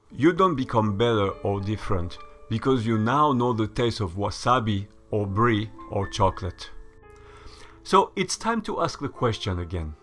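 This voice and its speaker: A man delivering a scripted voice-over essay in a measured, lecturing, mildly sardonic way. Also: lowish voice, a bit bright. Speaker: channel host.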